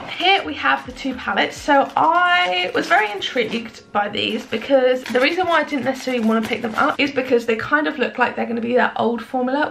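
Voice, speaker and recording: A young woman with a slightly high, gravelly, croaky voice chats away about her makeup at home. She rambles and pokes fun at herself, and her voice carries a clear edge of fry. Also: fairly bright tone, slight background noise. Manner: chatty, self-deprecating, rambling. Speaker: beauty vlogger. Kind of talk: casual makeup review chat. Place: indoors at home in the evening.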